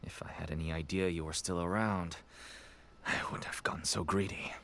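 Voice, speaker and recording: A man playing a cornered, smooth-talking but nervous smuggler and father in an anime-style video game. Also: sits low, fairly even intonation, moderate pace, fairly bright tone. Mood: flattering, sheepish.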